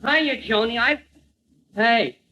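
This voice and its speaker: An actor playing a guileless, easygoing young boyfriend in an old-time radio comedy, bemused and literal. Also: male voice, very high voice, takes it slowly, pushed and bright, exaggerated voice. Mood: cheery, bemused. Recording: dull, faintly noisy vintage radio recording.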